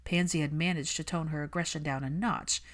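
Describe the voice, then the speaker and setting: A woman's voice: low-pitched, fairly even intonation, brisk. A woman reading fiction as an audiobook narrator.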